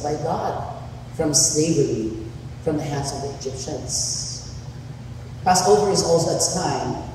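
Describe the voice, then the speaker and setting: A man preaching a sermon as pastor, with a husky, hoarse voice, deliberately laying out numbered points and sometimes restarting a phrase. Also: a little high, mellow. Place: large church hall. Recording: echoey church hall from far off, faint noise.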